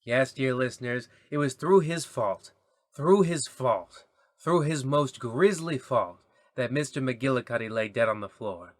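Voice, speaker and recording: A man's voice: medium pitch, animated, a little slow, dry recording, studio quality, natural voice. A man playing a grandiose, sermon-like narrator in the style of an old radio serial. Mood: grave, portentous. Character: solemn, preachy male radio-play narrator.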